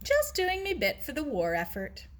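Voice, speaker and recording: A woman's voice: high-pitched, huge pitch swings, moderate pace, voice pushed up. Woman as a bashful, earnest young girl. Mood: bashful, pleased.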